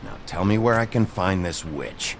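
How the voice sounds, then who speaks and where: An actor playing a gruff, suspicious investigator in a video game, terse and demanding, with a gravelly voice. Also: male voice, low-pitched, lively intonation, moderate pace, slight background noise. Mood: grudging.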